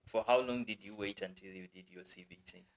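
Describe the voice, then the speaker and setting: A Kenyan man hosting a casual chat with a guest, speaking in a relaxed, halting way with restarts. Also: fairly low pitch. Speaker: host.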